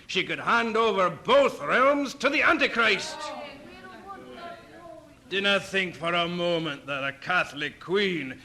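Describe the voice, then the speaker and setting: Fiery, sharp-voiced Scottish preacher. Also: male voice, sits high, creaky, heavily acted. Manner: declamatory, archaic, emphatic preaching. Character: fiery zealous Scottish Protestant preacher, stern and denouncing. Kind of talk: audio drama sermon.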